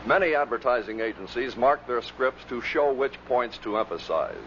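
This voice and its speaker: A man's voice: mid-range voice, animated, moderate pace, fairly bright tone. A man reading scripted narration as the presenter of a compilation of radio clips. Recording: dull vintage recording with faint noise.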